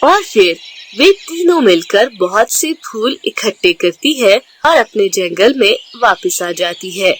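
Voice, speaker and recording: A woman's voice: a little high, fairly bright tone, a little hiss or hum. A woman narrating a scripted children's story.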